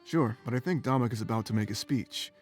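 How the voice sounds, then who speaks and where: A man voicing a calm furry crewmate in a visual novel, matter-of-fact in manner. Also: mid-range voice, quick pace, faint music behind, lightly acted.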